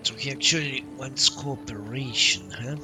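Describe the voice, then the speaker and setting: A man's voice: medium pitch, measured pace. A man giving casual live commentary on a game he is playing, musing and a little hesitant as he goes. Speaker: game streamer. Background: game music.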